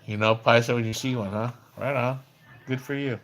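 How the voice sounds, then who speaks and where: A man's voice: mid-range voice, fairly even intonation, normal speed, a bit bright. Casual, rambling male livestreamer. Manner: rambling, conversational storytelling, slangy; acts out quoted dialogue when recounting. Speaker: live streamer. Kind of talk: casual livestream chat about another streamer.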